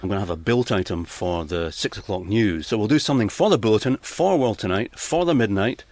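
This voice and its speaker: A man with a fairly low voice talks informally as a news correspondent. The sound is dry, with no room around him. He speaks as someone being interviewed. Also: expressive, brisk, a little mellow, no room sound.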